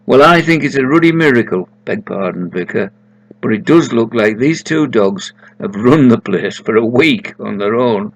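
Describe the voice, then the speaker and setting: Man as country farmer, blunt, wonderstruck, storybook character, gravelly voice. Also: mid-range voice, lively intonation, moderate pace, no echo, poor audio. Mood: blunt amazement. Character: plain-spoken male farmer, blunt and wonderstruck.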